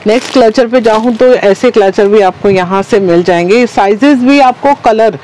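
A woman's voice: fairly high pitch, moderate pace, mellow, rough recording. A woman hosting a shopping vlog, presenting wholesale goods in a repetitive patter of stock phrases. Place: small wholesale market shop, indoors.

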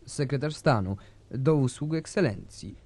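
An actor playing an opportunistic, treacherous secretary of state, formal and deferential, in a radio drama. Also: male voice, medium pitch, animated, unhurried, very noisy. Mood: servile scheming.

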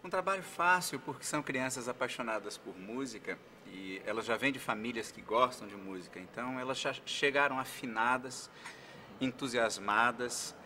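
A man in his forties, a musician guest in a live TV interview, talking in an earnest, relaxed way at a keyboard. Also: mid-range voice, moderate pace, a little hiss or hum. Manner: relaxed, earnest, plays keyboard while he talks. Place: dark studio set with keyboard. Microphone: stand mic. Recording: loud keyboard music behind.

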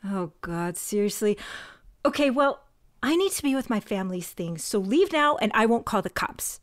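An actress with a Mexican American accent playing a proud, vengeful young woman, intense and shifting between mutters and shouts. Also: medium pitch, very animated, normal speed, lightly acted. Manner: intense, swinging from muttering to yelling. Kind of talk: audio drama acting.

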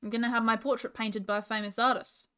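A woman's voice: on the high side, flat delivery, quick pace, pushed and bright, clear, resonant tone. A cocky little male wombat from a children's storybook, acted out by a woman. He carries himself with grand self-importance and boasts freely. His confidence is easily punctured. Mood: boastful, cross. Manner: boastful, grand, sighing when thwarted.

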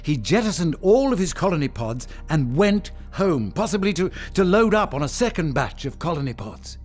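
An excitable, high-pitched professor. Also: male voice, soft background music. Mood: excited realisation. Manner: halting, repeats himself, emphatic. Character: anguished, excitable male professor and protective father. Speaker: actor. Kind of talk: audio drama acting.

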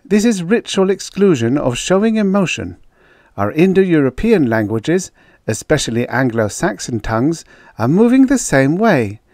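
A man voicing a quick-tempered woman academic who argues and lectures with emphatic conviction. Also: on the high side, big pitch swings, a little mellow, no echo, studio quality, voice pushed up. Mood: earnest lecturing.

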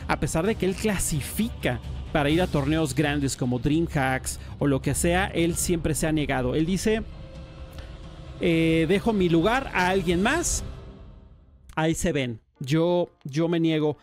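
Brief: male podcast host, lively and slangy, voice a little high, soft music underneath. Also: unhurried. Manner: animated, enthusiastic, lots of slang. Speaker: podcast host and StarCraft caster. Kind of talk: casual podcast chat.

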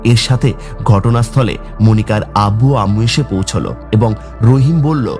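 A man's voice: lowish voice, measured pace, music in the background. A man narrating a scripted horror story for a cartoon.

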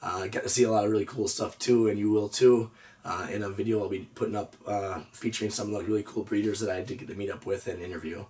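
Hoarse man, 30s, relaxed reptile-channel host, lowish voice, mic at a distance. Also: fast. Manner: easygoing, lots of "uh" and "so". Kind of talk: casual show-and-tell vlog. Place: indoor reptile room with storage drawers.

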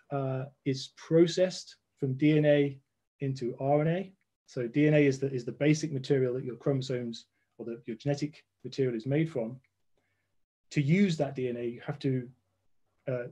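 A man's voice: medium pitch, mellow. A man in his forties, a guest scientist on a video call from his home, explaining step by step with frequent restarts. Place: home room with red walls.

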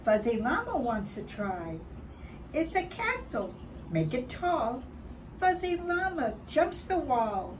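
An older woman reading a children's picture book aloud in an expressive, deliberate way, dramatizing it for young children. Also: sits high. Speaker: early childhood storybook reader. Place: outdoors by a building wall and door. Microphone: camera a short distance away. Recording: distant mic, clear background noise outdoors.

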